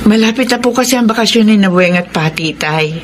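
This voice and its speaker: A woman's voice: medium pitch, fairly quick, noisy background, close to an everyday voice. An actress playing a worried, strict middle-aged mother in an emotional, earnest Filipino radio drama style. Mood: respectful.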